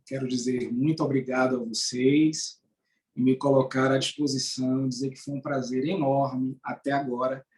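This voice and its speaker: A man's voice: medium pitch, a little mellow, rough recording. A man with a Bahian accent, a judge, gives courteous closing remarks and chats over a video call, often restarting his sentences. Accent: Bahian Portuguese. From Brazil, born in Sergipe, raised in Salvador. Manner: courteous, speaks in halting restarts. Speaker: judge giving a closing lecture. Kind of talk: formal closing remarks and conversation.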